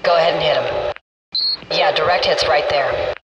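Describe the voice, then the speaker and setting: An actor playing a businesslike military gunship fire control officer, giving clipped, commanding radio callouts in a video game. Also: male voice, on the high side, normal speed, dull audio, natural voice. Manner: terse, commanding. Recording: distant military radio, loud aircraft cabin noise.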